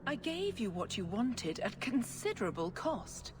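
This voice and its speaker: An actress voicing a scheming, zealous priestess in a video game, with a formal, controlled and sanctimonious manner. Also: female voice, on the high side, big pitch swings, a little slow. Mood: cold, smug. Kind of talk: dramatic video game acting. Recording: loud ambient noise behind.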